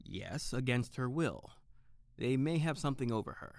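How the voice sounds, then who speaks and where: An actor playing an earnest, trusting, soft-hearted detective in a noir-style audio drama, a little hesitant. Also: male voice, medium pitch, expressive, moderate pace, vocal fry, dry recording, studio quality. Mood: doubtful, defensive. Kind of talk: scripted noir-style audio drama with first-person narration.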